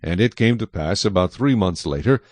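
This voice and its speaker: A man's voice: on the low side, lively intonation, moderate pace, a little mellow, no echo. A man reading scripture as the narrator of a dramatized audio Bible.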